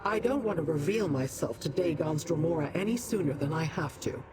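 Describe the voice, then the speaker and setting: A woman's voice: sits low, lively intonation, brisk, noisy background. An actress voicing a haughty, sardonic demon woman in a video game, condescending and theatrical. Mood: cool, conspiratorial. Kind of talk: scripted video game dialogue. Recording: game music behind the voice.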